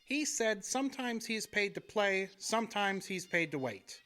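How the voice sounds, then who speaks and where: Wry, easygoing radio engineer. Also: male voice, sits high, animated, normal speed, sharp tone, soft background music. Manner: casual, dry banter. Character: easygoing, wisecracking male radio studio engineer. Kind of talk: audio drama acting.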